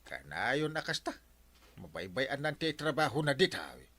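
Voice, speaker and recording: An actor with an Ilocano accent playing a fretful, excitable village captain in a radio comedy drama, exclaiming and grumbling. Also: male voice, mid-range voice, moderate pace, no room sound, full character voice. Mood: worried grumbling. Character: fretful, excitable barangay captain, comically exasperated man.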